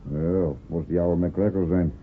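An actor playing a pious, contented livestock farm master in a Dutch radio play, with a folksy manner. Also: male voice, sits low, fairly even intonation, a little slow. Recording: tinny old radio play, faint noise.

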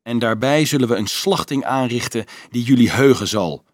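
A man's voice: medium pitch, expressive, moderate pace, dry recording, studio quality, lightly acted. A man voicing a cruel, scornful leader of armed horsemen in an audiobook, snarling and commanding. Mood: scornful, threatening. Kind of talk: audiobook character voice.

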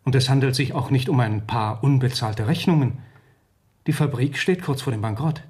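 An actor in a radio drama playing a sober, polite lawyer who speaks in a measured, matter-of-fact way. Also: male voice, mid-range voice, darkish tone, lightly acted. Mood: grave, factual. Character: formal, sober male lawyer and executor.

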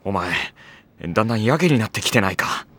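A man playing a put-upon high-school boy, a dry, grumbling straight man in an anime comedy audio drama. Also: expressive, fast, a bit bright, slight background noise. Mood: exasperated.

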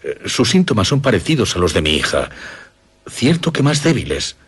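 Deep, husky Castilian gentleman father. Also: moderate pace, heavily acted. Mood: courteous, concerned. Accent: Castilian Spanish. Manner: formal, expressive. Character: courtly, affectionate father, gentleman of a castle. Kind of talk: radio drama acting.

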